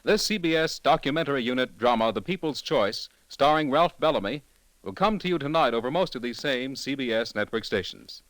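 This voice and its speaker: A man announcing for a 1940s radio network, reading a script in a formal, polished and measured way. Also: mid-range voice, lively intonation, a bit bright, dry recording. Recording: dry vintage radio broadcast, faint music.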